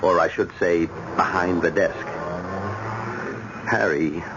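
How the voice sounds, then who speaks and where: An actor playing a worldly, smug bachelor brother-in-law in a radio drama, with an American accent and a teasing, insinuating delivery. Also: male voice, low-pitched, fairly even intonation, slow pace. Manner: teasing, insinuating, theatrical emphasis. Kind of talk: old-time radio drama acting. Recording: muffled, noisy vintage radio drama recording.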